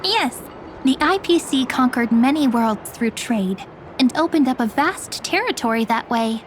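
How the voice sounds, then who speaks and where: A woman's voice: high voice, lively intonation, a little slow, a little hiss or hum. An actress playing a friendly young woman who guides and explains in a chatty, helpful way in a video game cutscene. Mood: earnest, explanatory.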